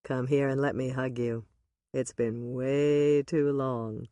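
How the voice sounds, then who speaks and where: A woman voicing a devoted man who speaks low, slow and soft. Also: little pitch movement, no room sound, muffled recording, lightly acted. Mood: tender. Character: warm, devoted man, old friend.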